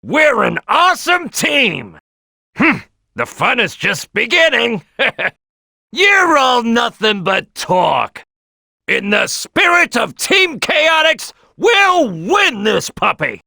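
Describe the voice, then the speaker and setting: Big cocky croc game character, bright punchy voice, swoops all over, loud and boastful. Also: male voice, very high-pitched, wildly swooping pitch, vocal fry, no echo, clean studio sound, full character voice. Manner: loud, swaggering, boastful, drawn-out delivery. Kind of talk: exaggerated cartoon game voice lines.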